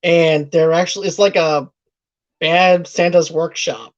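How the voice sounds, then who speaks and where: Man host, slightly high voice, rambling casual film recap, muffled lo-fi audio. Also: fairly even intonation, measured pace. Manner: rambling retelling with many restarts. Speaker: movie review podcast host. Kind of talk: casual chat.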